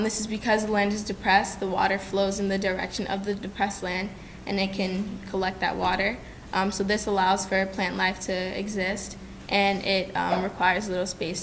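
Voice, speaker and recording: A young woman gives a class presentation from a podium microphone, explaining step by step with the odd restart. Her delivery stays fairly even in pitch. A faint rumble sits under the lecture-room sound. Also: rough recording. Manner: steady, explanatory, some restarts and ums. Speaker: student presenter. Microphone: desk microphone at a podium.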